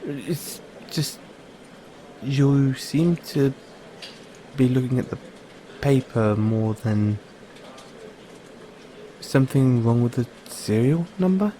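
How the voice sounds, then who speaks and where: A man with a British accent acting in an audio roleplay as a kindly, anxious, furtive android owner, speaking haltingly with stammers. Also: mid-range voice, animated, dark tone, slight background noise, rough recording. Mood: nervously polite.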